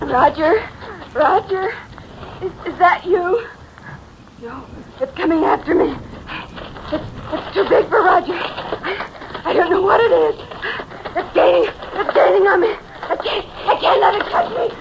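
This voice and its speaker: A woman's voice: extremely high pitch, measured pace, dull audio. An actress playing a frantic, grief-stricken woman talking breathlessly to herself in an old-time radio thriller. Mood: terrified. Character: frantic, grief-stricken woman searching for her buried sweetheart. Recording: noisy old radio recording, rain behind.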